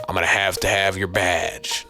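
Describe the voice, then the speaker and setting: A man voicing a gruff, weary, irritable New police chief, blunt and streetwise, in a noir audio drama. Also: low voice, little pitch movement, moderate pace, music in the background. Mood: grudging, threatening.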